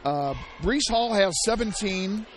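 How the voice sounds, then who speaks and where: Hoarse high-voiced basketball announcer, man. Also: takes it slowly. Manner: excitable, shouts big plays, chatty asides. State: losing his voice, hoarse. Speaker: play-by-play announcer. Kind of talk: live basketball play-by-play. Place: high school gym broadcast. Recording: loud gym crowd and noise behind.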